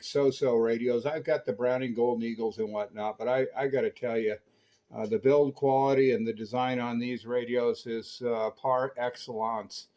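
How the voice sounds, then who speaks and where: A man's voice: mid-range voice, darkish tone, muffled recording. An American man chatting casually and enthusiastically over CB radio, explaining things like a keen hobbyist.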